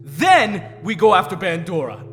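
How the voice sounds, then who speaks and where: An actor playing a hot-headed young superhero team leader in an audio drama, combative and sarcastic. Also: male voice, high voice, sing-song, moderate pace, a bit bright, long reverb tail, faint music behind. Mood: angry.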